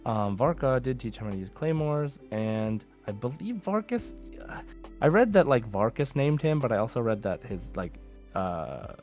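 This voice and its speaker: A man hosting a podcast quiz in casual banter, slowing down deliberately for questions and options. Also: mid-range voice, big pitch swings, darkish tone, faint music behind. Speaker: podcast host and quiz master.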